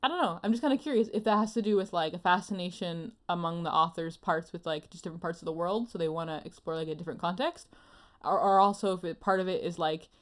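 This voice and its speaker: A woman's voice: lowish voice, fast. A woman chatting casually as a panelist, musing tentatively and using lots of filler words. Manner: musing, tentative, heavy use of "like". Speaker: panelist on an anime discussion show. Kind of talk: casual chat.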